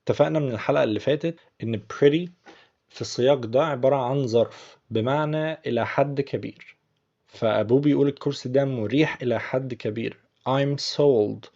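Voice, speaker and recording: A man's voice: medium pitch, a little fast, creaky, darkish tone, muffled recording. A man with an Egyptian Arabic accent, patiently explaining English phrases word by word as a teacher.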